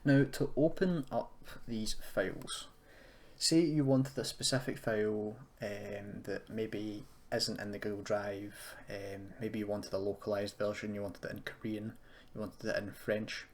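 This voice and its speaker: Low-voiced man rambling through a tutorial. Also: flat delivery, measured pace, a little mellow. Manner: rambling, thinking aloud, self-deprecating. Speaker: tutorial host. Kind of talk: casual screen-recorded software tutorial. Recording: clearly noisy, keyboard clicks and typing. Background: background noise, computer keyboard and mouse clicks.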